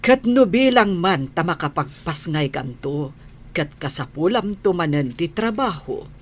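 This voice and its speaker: A woman's voice: on the low side, expressive, measured pace. An actress playing a caring, motherly middle-aged auntie in a radio drama, giving advice in a reassuring manner. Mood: gentle, reassuring.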